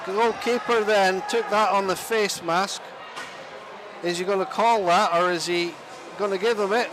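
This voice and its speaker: A man giving live play-by-play commentary on an ice hockey game, chatty and self-deprecating, sometimes pausing to find his words. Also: high-pitched, steady tone, crowd noise, background noise. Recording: music clearly audible behind the voice. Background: music.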